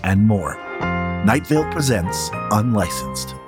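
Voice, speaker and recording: A man's voice: lowish voice, lively intonation, a little slow. A man reading a scripted, dramatic trailer narration. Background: music under the voice.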